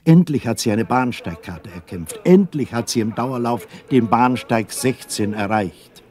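A man narrating a children's radio play as a storyteller, in a measured, deliberate manner. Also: medium pitch, lively intonation, mellow, close to an everyday voice. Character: calm male storyteller addressing young listeners.

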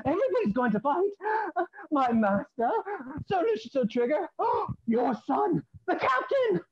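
A woman's voice: high voice, big pitch swings, a little slow, highs cut off, a little hiss or hum. An actress playing a panicked servant girl shrieking breathless alarms in a stage play.

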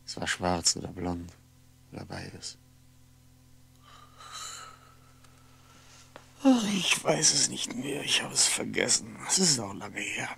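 A lonely man lost in his memories, thinking aloud in a 1960s radio drama. His voice is low and gravelly, with diction. He speaks in halting, introspective phrases, often correcting himself. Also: very animated, a bit bright, a little hiss or hum, full character voice. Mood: wistful, forgetful. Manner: halting, introspective, self-correcting, deliberate articulation. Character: lonely, brooding man musing aloud over faded memories. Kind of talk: 1960s German radio play monologue.

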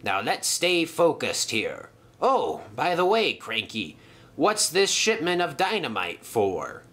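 A man's voice: on the high side, huge pitch swings, slow pace, a bit bright, pitched above the performer's own voice, heavily acted. A man voicing a hearty, easygoing seafaring engine with jovial sailor talk in a children's story. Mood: businesslike.

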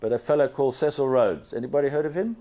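An older man from Zambia giving an animated slide presentation to a seated audience in a large banquet hall, speaking into a handheld microphone and asking the listeners questions. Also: medium pitch, fast talker. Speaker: guest speaker.

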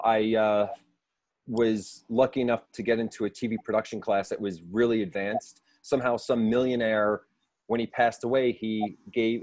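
A man's voice: medium pitch, a little mellow, no room sound. A man in his forties, a filmmaker guest, talking in a friendly, easy-going way on a video call from home. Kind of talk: casual video call chat. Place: home room with brick wall.

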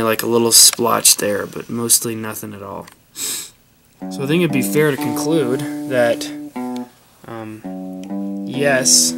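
Young man casually hosting a fishing vlog. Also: medium pitch. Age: young adult. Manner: casual, many ums and uhs. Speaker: fishing channel host. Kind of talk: casual product test vlog. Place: carpeted room indoors.

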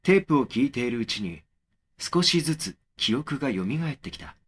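A man's voice: mid-range voice, sing-song, moderate pace, husky. A man as brooding young male horror narrator. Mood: brooding, matter-of-fact. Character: young man recounting an eerie memory in horror story. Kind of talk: first-person horror audio drama narration.

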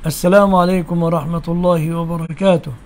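A man's voice: a little high, flat delivery, quick pace, darkish tone. A man with an Egyptian accent hosting a live call-in religious question session, answering callers bluntly and questioning them back.

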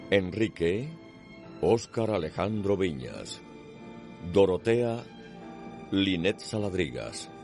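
A man's voice: low voice, darkish tone. A man narrating and announcing a radio soap opera in a scripted style. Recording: clear music behind the voice.

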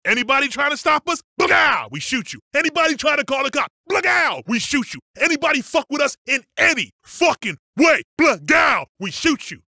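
Brash, high-pitched, forceful bank robber. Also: male voice, gravelly, dry recording, studio quality, full character voice. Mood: menacing. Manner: forceful, profane, streetwise slang. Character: brash foul-mouthed male bank robber, aggressive, streetwise. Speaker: video game voice actor. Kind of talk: video game combat barks.

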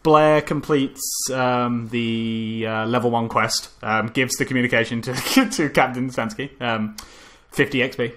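A man chatting through a film recap at a medium pitch, stumbling over his words with plenty of ums. He keeps breaking into giggles as he goes, loose and unpolished. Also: steady tone, measured pace, fairly bright tone, a little hiss or hum. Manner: rambling, with many ums and restarts; giggly, often laughing mid-sentence. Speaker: podcast co-host. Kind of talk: casual movie review chat.

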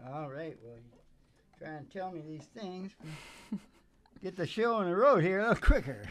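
A middle-aged man hosting a music show, chatting casually and telling rambling, animated stories. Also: high-pitched, measured pace. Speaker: singer and music show host. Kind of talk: casual chat and storytelling between songs. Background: acoustic guitar.

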